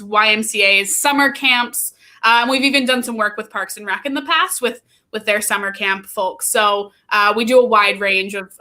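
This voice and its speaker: A woman's voice: a little high, sharp tone. An upbeat, enthusiastic American woman in her thirties explaining her work as an interview guest. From Pennsylvania, United States. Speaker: guest, youth play nonprofit training manager.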